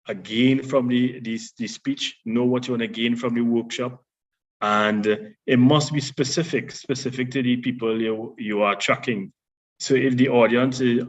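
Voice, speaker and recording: Man, deep voice, workshop presenter, measured explaining, muffled lo-fi audio. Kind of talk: public speaking workshop. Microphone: online session audio.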